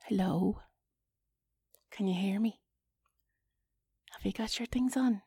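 A woman with an Irish accent playing a flustered, chatty wife in an intimate audio roleplay, halting and coaxing, often dropping into a breathy whisper. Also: medium pitch, warm tone. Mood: nervous, teasing.

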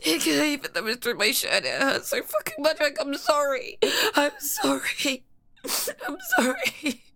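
A woman acting a frightened, clingy young woman who pleads with her partner in tears, with nervous laughs and breathy whispers. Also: high voice, very animated, fairly quick, a bit bright, full character voice. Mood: tearful pleading. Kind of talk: audio roleplay acting.

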